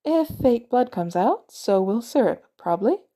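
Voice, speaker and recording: A woman's voice: fairly high pitch, big pitch swings, unhurried, dark tone, voice pushed up. A woman voicing a cool, easygoing woman with dry humour, understated and casual, in a story reading. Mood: amused.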